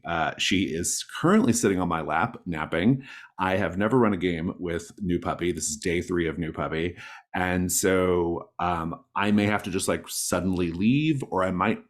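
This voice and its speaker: A man with a low voice acts as game master on an online call. He introduces the session in a casual, conversational way.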